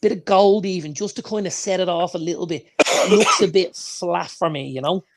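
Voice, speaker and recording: Male podcast co-host, higher pitch, enthusiastic, emphatic casual delivery. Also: fairly quick, very noisy. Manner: enthusiastic, emphatic, repeats phrases for stress. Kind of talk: casual chat.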